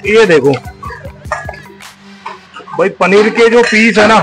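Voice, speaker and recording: A man's voice: sits high, takes it slowly. A man hosting a casual food vlog on location in a restaurant, talking in an enthusiastic, repetitive way. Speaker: food vlogger, host. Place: inside a busy restaurant and its kitchen. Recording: music under location speech. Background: music.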